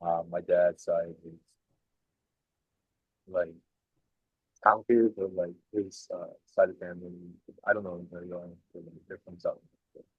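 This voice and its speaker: A man's voice: low voice, level tone, measured pace, long pauses, husky, no room sound, muffled recording. A man whose first language was Irish, speaking as a student in an online class in a rambling, hesitant way with many restarts. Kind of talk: casual class discussion. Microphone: video call.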